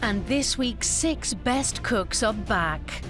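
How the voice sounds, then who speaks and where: A woman narrating a cooking competition as a scripted voice-over, measured and announcing. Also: a little high, lively intonation. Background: background music.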